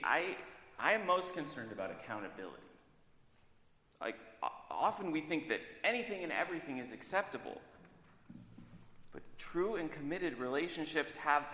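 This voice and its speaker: A man's voice: medium pitch, pushed and bright. A man playing Justice, a stern brother demanding accountability, in a dramatized church reading. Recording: echoey hall, long reverb.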